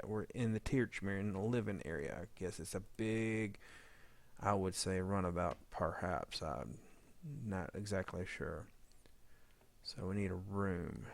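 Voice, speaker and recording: A man's voice: low voice, vocal fry. A man giving a casual, unscripted editing tutorial, meandering as he thinks aloud. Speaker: audio drama editor giving a demo.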